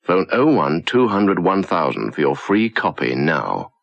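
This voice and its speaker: A man's voice: sits low, very animated, a little slow, creaky, no room sound. A man reading a scripted campaign voice-over as an advert announcer.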